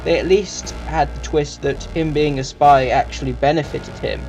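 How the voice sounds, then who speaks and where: Higher-pitched man narrating a review. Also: fairly even intonation, moderate pace, a little mellow, soft background music, poor audio. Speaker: reviewer and channel host.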